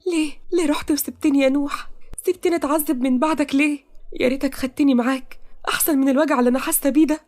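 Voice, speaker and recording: A woman's voice: fairly high pitch, racing, hardly any pauses, voice pushed up, lightly acted. A woman with an Egyptian accent voicing a desperate young woman who pleads tearfully against a forced marriage. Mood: grieving. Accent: Egyptian Arabic.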